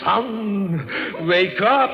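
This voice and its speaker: Dead dad's ghost, european accent, super slow swooping calls, big reverb, muffled 40s radio w music. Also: male voice, sits high, animated, exaggerated voice. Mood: eerie, urgent. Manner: slow, drawn-out, urgent calling. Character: ghost of a murdered father, older European man. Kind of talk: 1940s radio acting.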